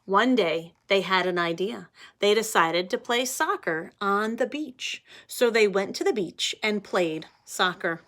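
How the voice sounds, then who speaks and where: Woman, teacher, read-aloud for young kids, slow pace, middle pitch. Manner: slow, expressive, addresses children directly.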